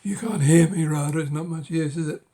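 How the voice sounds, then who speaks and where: A middle-aged man with a cold, speaking hoarsely and haltingly in a casual show-and-tell of his books, often dropping to a whisper. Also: fairly high pitch, dark tone. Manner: halting, many ums and uhs, drops to a whisper. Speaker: book collector vlogger.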